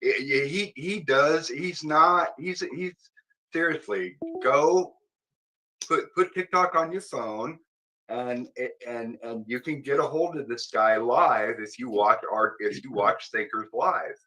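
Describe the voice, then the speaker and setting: A man's voice: mid-range voice, slow pace, sharp tone, low-quality recording. A man in a casual panel discussion, speaking informally and emphatically, often repeating himself. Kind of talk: casual debate chat.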